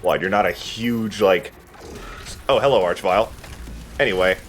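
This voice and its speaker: A man's voice: low-pitched, normal speed, slight background noise. A man giving casual, joking commentary while he plays a video game. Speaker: let's play host playing the game.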